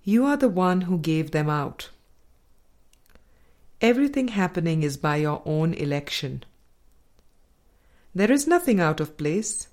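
A woman reading a spiritual self-help audiobook in a measured, deliberate way. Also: fairly low pitch, big pitch swings, a little mellow. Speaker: audiobook reader.

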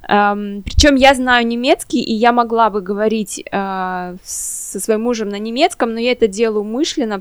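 A mother chatting on a podcast, telling her family's story with lively, filler-filled speech. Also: fairly high pitch, no echo. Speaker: guest mother in a trilingual family. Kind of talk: casual podcast conversation.

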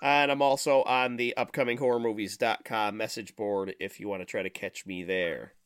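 Man, from the United States, podcast host, bright tone, casual chat, jokey, swearing, exclamatory. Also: on the low side, steady tone, moderate pace, smooth.

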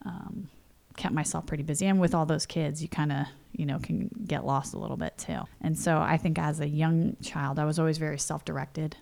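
A woman's voice: low voice, steady tone, brisk, gravelly, hardly any pauses, darkish tone. A woman in her forties from California, telling personal stories in a chatty interview full of "you know" and "like". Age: 40s. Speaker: interview guest. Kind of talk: casual storytelling. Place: home.

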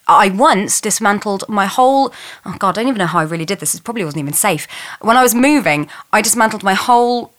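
A woman chatting casually and comically as a podcast guest, animated, rambling and self-mocking. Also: medium pitch, fast, hardly any pauses.

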